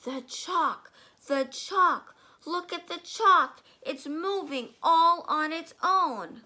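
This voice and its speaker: A small boy in a storybook screams in shrill hysterics, as a woman puts him on. The voice leaps very high and loud, bright and piercing. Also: slow pace, heavily acted. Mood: hysterical. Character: hysterical little schoolboy, shrill and excited.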